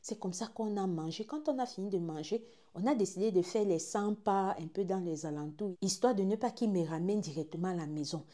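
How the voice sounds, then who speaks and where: A Gabonese woman in her twenties with a Gabonese French accent, telling a personal story dramatically, dropping to hushed asides and rising to excited exclaims. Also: medium pitch, fairly quick. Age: 20s. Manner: animated, swings from hushed asides to loud exclaims, repeats phrases.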